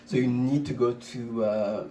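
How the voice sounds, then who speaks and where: A man's voice: medium pitch, fairly even intonation, unhurried, crowd noise. A man from Congo talking in casual conversation as a co-host, rambling with many restarts and fillers, recorded from a distant mic. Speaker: podcast co-host. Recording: roomy, distant mic, faint noise.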